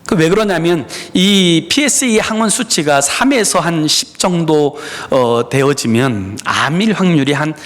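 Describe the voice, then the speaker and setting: Man, pastor, middle-aged, sermon in a church, lively rising and falling pitch, hesitant "uh" fillers. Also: measured pace, few pauses. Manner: frequent "에" fillers, personal and earnest, addresses congregation. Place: church sanctuary.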